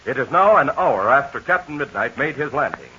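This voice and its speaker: Lively old-time radio announcer man. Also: medium pitch, fairly quick, slight background noise. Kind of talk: scripted narration. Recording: dull lo-fi 1940 radio transcription.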